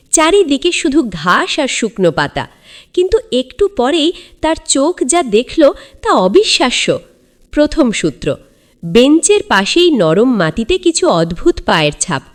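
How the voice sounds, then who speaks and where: A woman narrating a scripted children's story in a dramatic, suspenseful storyteller's manner. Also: a little high.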